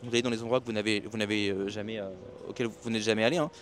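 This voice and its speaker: A man's voice: fairly low pitch, steady tone, very fast, a little hiss or hum. A man giving a rambling motivational monologue to his viewers at night by the sea, with many restarts and fillers. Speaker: self-help and expat business YouTuber.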